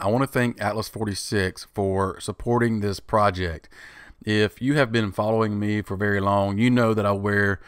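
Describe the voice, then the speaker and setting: A man's voice: lowish voice, clean tone, no echo, clean studio sound. A middle-aged man hosting a woodworking channel, walking through a DIY project step by step in a plain, easygoing way. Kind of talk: DIY tutorial voice-over.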